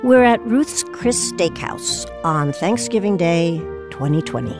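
Female presenter, slightly low register, scripted radio introduction over prominent music. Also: animated, measured pace. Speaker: host introducing a radio play. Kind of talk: scripted narration. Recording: music behind the voice.

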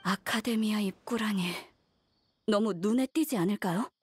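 A woman's voice: mid-range voice, normal speed, slight background noise, natural voice. A woman playing a polite, cautious young female negotiator in game dialogue, earnest and composed. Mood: cautious, inquiring.

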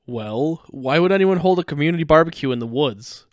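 A man voicing a grumpy, weary, reluctant cartoon father, deadpan and grumbling, in a fan fiction reading. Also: on the high side, fairly quick, no room sound, studio quality, natural voice.

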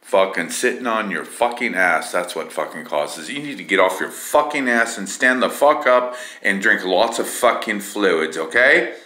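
A man's voice: fairly low pitch, lively intonation, normal speed, hardly any pauses, bright, forceful tone, echoey room. A man vlogging into his phone in a put-on Scottish accent, swearing in nearly every sentence as he rants. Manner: profane, cursing constantly. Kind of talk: casual vlog to camera. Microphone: phone camera.

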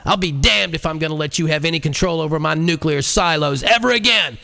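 A man's voice: fairly high pitch, a little fast, gravelly, sharp tone, voice pushed up. A man voicing an irate, blustering male president in an audiobook, indignant and emphatic. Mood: furious, defiant. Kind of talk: audiobook character acting.

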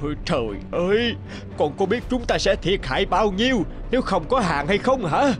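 A man's voice: high voice, expressive, moderate pace, over music. A man voicing a stern, exasperated old merchant father in a cartoon fairy tale, scolding with authority. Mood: angry.

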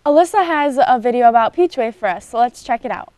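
A woman's voice: fairly high pitch, lively intonation, fast, background noise. A young woman anchoring a student news show, reading school announcements in a studio. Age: young adult. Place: studio with curtain backdrop.

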